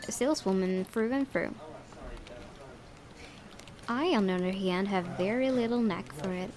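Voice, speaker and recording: A woman with a mid-pitched voice reads story narration aloud as a let's player. She drops in casual asides and sometimes trips on a word before correcting it, with clear background voices behind her. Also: moderate pace, a crowd in the background. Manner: stumbles over words and corrects herself.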